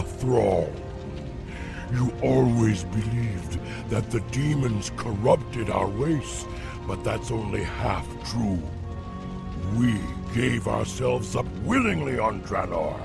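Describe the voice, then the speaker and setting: An actor playing a grave, weathered warrior of a fantasy horde in a video game, in a low gravelly voice with slow, solemn, portentous delivery. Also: male voice, heavily acted. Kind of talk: video game cinematic acting.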